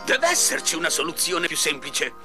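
Gushing, highish-voiced satisfied customer. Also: male voice, sing-song, normal speed, music in the background. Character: gushing, earnest infomercial testimonial customer. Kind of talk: parody infomercial testimonial.

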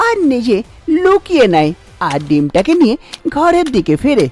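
A woman's voice: fairly high pitch, huge pitch swings, normal speed, darkish tone. A woman reading scripted fairy-tale narration for a cartoon as the storyteller.

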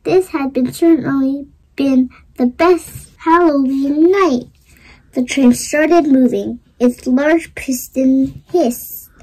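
Slow, high-pitched child reading a storybook. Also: warm tone, poor audio. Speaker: storybook reader. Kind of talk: expressive read-aloud of a rhyming children's storybook.

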